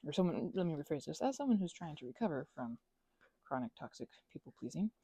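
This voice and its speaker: A woman rambling casually as she thinks aloud over a craft, halting and murmuring to herself, with playful asides to listeners. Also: deep voice, big pitch swings, dark tone, husky, dry recording. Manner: halting, drifts into murmurs and self-talk. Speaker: ASMR and audio creator.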